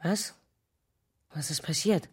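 A suspicious wife, husky and slightly off the mic. She sounds alarmed and probing, in a radio drama style. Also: low voice, takes it slowly, distant mic, full character voice. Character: suspicious, alarmed wife questioning her husband.

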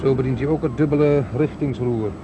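An actor playing a precise, proud inventor in a Dutch radio play, giving technical explanations. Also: male voice, medium pitch, fairly even intonation, a little fast, close to an everyday voice. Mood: precise, explanatory. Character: precise, proud male inventor showing his machine. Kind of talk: 1970s Dutch radio drama acting. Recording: old radio play, loud outdoor noise effects.

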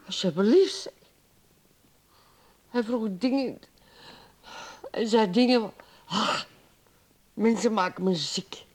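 A woman's voice: mid-range voice, slow pace, pauses often, darkish tone, breathy edge. An actress in a radio play playing a defensive, embittered middle-aged wife who drinks, arguing emphatically with her husband. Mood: indignant. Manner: indignant, emphatic, pleading.

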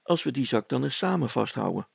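A man's voice: mid-range voice, very animated, a little fast, gravelly, husky, no echo, heavily acted. A man voicing an easygoing, helpful village man in a storybook reading, talking plainly and familiarly. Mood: concerned, helpful.

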